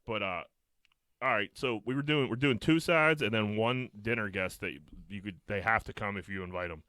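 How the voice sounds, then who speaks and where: A man's voice: lowish voice, moderate pace, pushed and bright, clear, resonant tone, no echo. A man hosting a podcast, bantering bluntly and swearing freely with his co-hosts. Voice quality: profane, blunt. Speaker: podcast host. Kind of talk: casual banter.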